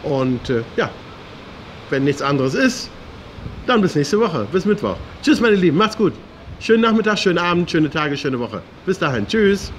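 A man's voice: on the high side, big pitch swings, moderate pace. A middle-aged man talking casually to camera as a vlog host, chatty and addressing his viewers in a familiar way, outdoors on a terrace. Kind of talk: casual chat to camera, weekly local news update. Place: open-air hotel roof terrace by the sea. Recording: faint outdoor ambient noise.

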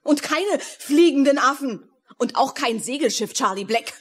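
A no-nonsense farmer's wife in a children's radio play. Her voice is high, and she is practical and skeptical. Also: animated, unhurried, slightly rough, full character voice. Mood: indignant, skeptical. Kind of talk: children's audio drama acting.

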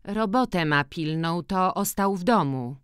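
Narrator doing a shy peasant woman, higher voice, unsure, pleading, rustic audiobook talk. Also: expressive, measured pace, clean tone, no echo, studio quality. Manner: hesitant, pleading rural dialect. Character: timid pleading peasant woman, grown daughter. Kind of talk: audiobook character dialogue.

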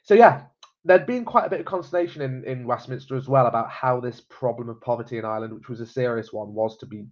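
A man's voice: mid-range voice, quick pace. Stuffy-nosed young man, chatty. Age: young adult. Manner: chatty, many fillers and repeated words. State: has a cold, stuffy. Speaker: genealogy presenter. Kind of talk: casual live-stream explainer. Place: small home room. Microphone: webcam at desk. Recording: slightly dull webcam audio.

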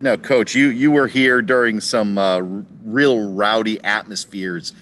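A man's voice: lowish voice, steady tone, a little slow, a bit bright, slight background noise. A man, a sports reporter, asking rambling questions with many restarts on a video call. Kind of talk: press conference questions.

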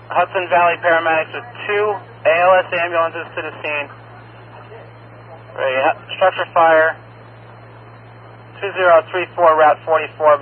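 Guy doing fire dispatch on radio, clipped number lists, dull muffled sound, bit of noise. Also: on the high side. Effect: two-way radio.

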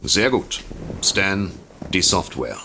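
Casting: sarcastic, self-important detective for comic radio play; very low, forceful, raspy, grumpy. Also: male voice, sing-song, slow pace, a little hiss or hum, full character voice. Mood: brisk, businesslike. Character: vain, grumpy, sardonic male detective and would-be writer. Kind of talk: comedic audio drama acting.

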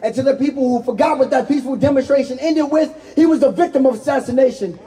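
High-voiced man delivering impassioned protest poetry. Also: fast, mellow. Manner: impassioned, emphatic, repeating key lines for effect. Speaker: spoken-word poet and activist speaker. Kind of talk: spoken-word protest poetry.

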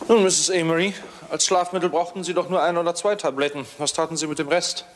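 An actor playing a brisk, commanding, sardonic police inspector in a German radio crime drama. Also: male voice, on the high side, fairly even intonation, a little hiss or hum, lightly acted.

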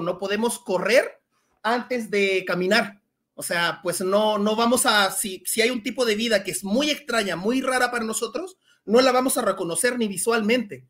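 A man in his thirties from Chile, with a Chilean accent mixed with Mexican Spanish, casually explaining biology in a live Q&A over a headset at home, with a relaxed manner and many filler words. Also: sits high. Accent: Chilean with some Mexican Spanish. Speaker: science communicator guest. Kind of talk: casual Q&A talk on a video call. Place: small room at home. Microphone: headset on a video call.

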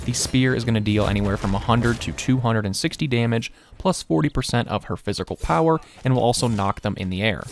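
A man's voice: medium pitch, a little hiss or hum. A young man hosting a gaming guide, explaining in a matter-of-fact, informative way. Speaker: gaming video host. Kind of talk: explanatory game guide narration.

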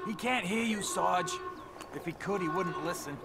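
A man's voice: high voice, normal speed, heavy background noise. A man playing an anxious, chatty soldier in a war video game, talking in a nervy, protesting way. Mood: reluctant. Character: anxious, chatty, sarcastic young male soldier.

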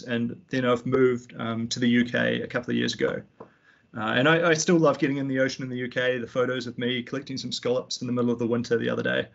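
A young man with a New Zealand accent, giving a tutorial over a slide presentation in a relaxed way, with frequent ums and restarts. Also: mid-range voice, creaky, dull audio. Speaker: fisheries analyst giving a tutorial. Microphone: computer mic on a video call.

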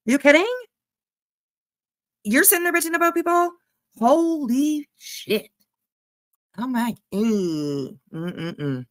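A woman's voice: high voice, lively intonation, slow, long pauses, no room sound, clean studio sound. A woman giving casual, snarky commentary on a clip, mocking and swearing freely. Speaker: reaction channel commentator.

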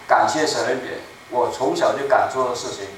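A man in his seventies preaching a sermon in a small church, speaking slowly and emphatically and repeating his key phrases. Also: mid-range voice, fairly bright tone. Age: 70s. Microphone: room mic from a far camera. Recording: echoey room, distant mic, faint noise.

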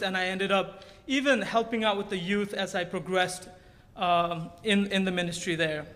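A man shares his story from a church pulpit. His voice sits high for a man, and it rings out with a long reverb through the big sanctuary. He speaks earnestly, with touches of humor and frequent restarts. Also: bright, forceful tone. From Nepal. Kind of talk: personal testimony from a pulpit. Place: large church sanctuary stage. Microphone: podium microphone.

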